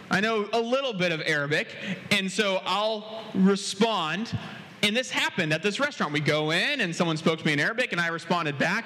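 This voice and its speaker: A man from America preaching a sermon as pastor, telling stories with quoted dialogue and a pace that speeds up and slows down. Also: high voice, bright, forceful tone. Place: church sanctuary. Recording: long hall reverb.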